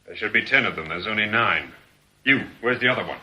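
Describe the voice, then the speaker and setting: An actor playing a brisk, bossy gang leader in a radio drama, firm and insistent. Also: male voice, lowish voice, animated, bright, forceful tone, heavily acted. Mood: urgent. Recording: slightly noisy radio drama recording.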